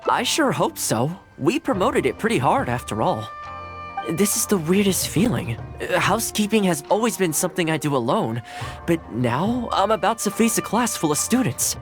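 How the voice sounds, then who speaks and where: A man's voice: sits high, big pitch swings, normal speed, soft background music. An actor playing an earnest, polite young man who works as a housekeeper in a video game, friendly and modest. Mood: nervous, hopeful. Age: young adult.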